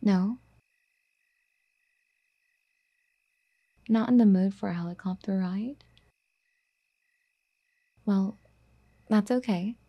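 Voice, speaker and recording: A woman playing a doting, clingy, obsessive girlfriend in an ASMR roleplay, speaking in a soft, breathy, coaxing half-whisper. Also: mid-range voice, slow pace, dull audio. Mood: eager to please. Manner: cooing, coaxing pet-name sweet talk.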